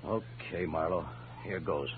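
A man's voice: low voice, a little slow. A man playing a frightened, evasive informant in an old radio crime drama, pleading and jumpy. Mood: resigned. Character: frightened, cornered male informant, whining and evasive. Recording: noisy, narrow old radio recording.

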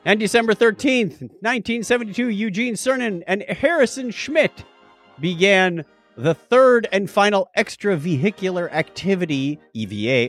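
A man with a fairly high, very lively voice co-hosts a casual podcast. He banters playfully and slips in quick joking asides, his pitch moving freely. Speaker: podcast co-host.

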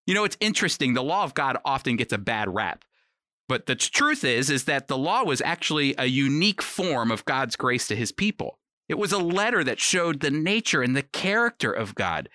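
A man hosting a radio Bible program, teaching in a conversational, earnest and emphatic way with deliberate repetition. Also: a little high, bright, forceful tone, dry recording, clean studio sound.

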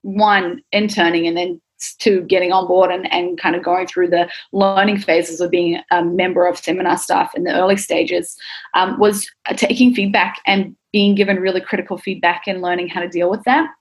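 A woman's voice: fairly low pitch, little pitch movement, moderate pace. A young adult woman with a New Zealand accent, talking casually, candidly and thoughtfully about herself as an interviewed athlete and coach.

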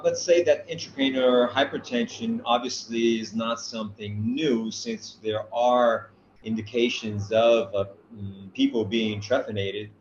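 A middle-aged man giving an academic lecture, hesitant with many filler sounds and a dry sense of humor. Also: on the low side. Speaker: guest lecturer, physician. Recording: roomy, dull-sounding call audio.

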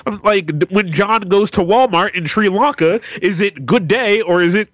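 A man's voice: high-pitched, moderate pace, no echo, lo-fi sound. A man chatting casually on a podcast in a blunt, sweary way.

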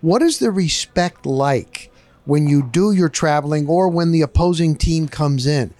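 A man's voice: fairly high pitch, a little slow, a little mellow, no room sound. A man hosting a casual sports interview, putting questions to his guest in a conversational style. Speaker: interviewer, host.

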